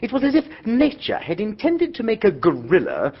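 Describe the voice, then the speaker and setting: A jaunty young gentleman in a radio play, his voice swooping wildly up and down. He sounds slightly breathy, and the audio is dull. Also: sits high, wildly swooping pitch, moderate pace, exaggerated voice. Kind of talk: narration and dialogue in radio drama.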